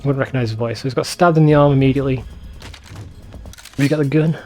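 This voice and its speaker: A man's voice: medium pitch, fairly even intonation, fast talker, darkish tone. Casual male gamer over faint music. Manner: informal, sweary. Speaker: let's play host. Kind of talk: casual gaming commentary. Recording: faint game music behind voice. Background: game soundtrack.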